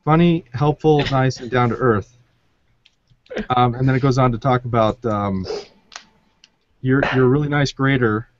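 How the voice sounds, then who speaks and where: A man's voice: medium pitch, moderate pace, pauses often, background noise. A man hosting a podcast, interviewing in a casual, conversational way. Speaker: podcast host. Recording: dull, dry lo-fi audio.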